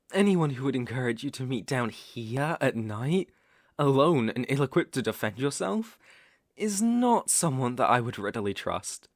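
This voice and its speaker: A man with a British accent playing a smooth-talking, sinister werewolf posing as a protective gentleman, speaking formally and measuredly in an ASMR roleplay. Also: mid-range voice, no room sound, studio quality, exaggerated voice. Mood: menacing, coaxing. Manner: courtly, formal, measured, insinuating. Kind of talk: ASMR roleplay audio acting.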